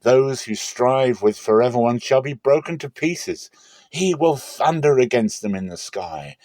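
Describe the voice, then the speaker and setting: A man's voice: mid-range voice, lively intonation, a little mellow, no echo, voice pushed up, natural voice. A man voicing a rejoicing woman in prayer. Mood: exultant. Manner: exultant, proclaiming, slow stresses. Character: rejoicing woman praying in triumph.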